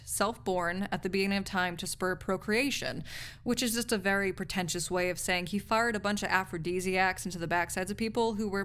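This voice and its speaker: A snarky, nerdy female research assistant, played by a woman in an intimate audio roleplay. She has a medium-pitched voice and explains things articulately, with a cynical edge and casual, wry asides. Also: a bit bright, clean tone, natural voice. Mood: wry, lecturing.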